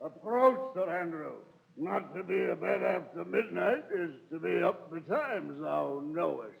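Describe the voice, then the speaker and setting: Gravelly, high-pitched drunken old knight. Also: male voice, lively intonation, measured pace, full character voice. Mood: tipsy mock-serious. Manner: blustering, tipsy. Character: boisterous drunken old knight, roguish and jolly. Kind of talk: 1930s radio Shakespeare comedy acting. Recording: dull vintage 1930s radio recording.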